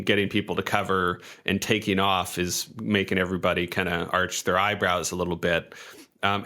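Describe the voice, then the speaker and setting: Low, bright-voiced man improvising a game story. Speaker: tabletop game player. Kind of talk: casual improvised third-person storytelling for a game character.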